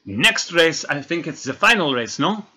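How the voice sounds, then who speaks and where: A man doing comic gameplay commentary in a fake French accent, excitable and hammy, laughing at his own jokes. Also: a little high, moderate pace, soft background music. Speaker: let's play gamer.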